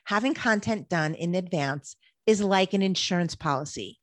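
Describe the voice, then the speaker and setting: A woman's voice: lowish voice, normal speed, no room sound, clean studio sound. Upbeat woman co-hosting a podcast. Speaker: podcast co-host. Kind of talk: scripted podcast chat.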